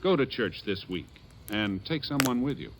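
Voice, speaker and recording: A man's voice: medium pitch, very animated, moderate pace. An American man announcing formally in the style of mid-century radio. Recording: vintage radio with faint hiss.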